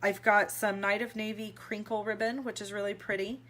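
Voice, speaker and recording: Chatty woman, a bit raspy. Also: medium pitch, level tone, normal speed, a bit bright, slight background noise. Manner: chatty, many ums, gushing. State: slightly raspy from allergies. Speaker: stamping demonstrator. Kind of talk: casual craft video chat. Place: indoor craft table. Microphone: overhead camera on hands, speaker off-screen.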